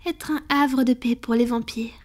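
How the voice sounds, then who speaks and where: A woman voicing a centuries-old female vampire in an intimate scripted roleplay, soft, breathy and confiding, with measured delivery and whispered touches. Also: on the high side, fairly even intonation, close to an everyday voice. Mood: calm, proud reminiscing.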